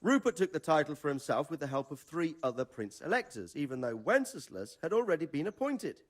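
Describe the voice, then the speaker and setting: An actor playing a knowledgeable older medieval lord in a video game, explaining things in a measured way. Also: male voice, on the high side, big pitch swings. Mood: measured, explanatory. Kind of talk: video game dramatic dialogue.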